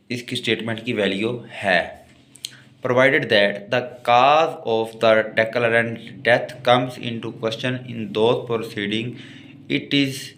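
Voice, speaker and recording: A man's voice: medium pitch, a bit bright. A man with a Pakistani accent lecturing as a lawyer, reading passages out and then explaining them step by step. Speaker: lawyer giving law lectures. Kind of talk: lecture, explaining exam topics in Urdu and English.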